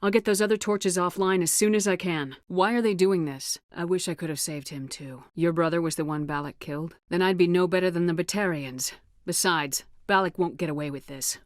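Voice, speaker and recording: A woman's voice: low-pitched, dry recording, studio quality, close to an everyday voice. A woman playing a tough, resolute space navy commander in a video game, speaking in a firm, terse, commanding way. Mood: grave, determined. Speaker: video game lead character. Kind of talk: video game dialogue acting.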